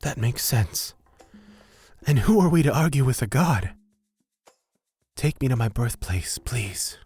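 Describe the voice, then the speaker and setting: A performer playing an earnest foundling from a desert temple in an audio drama, in a husky voice. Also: mid-range voice, lively intonation, a little slow, a little mellow, no echo, faint music behind. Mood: resigned, earnest.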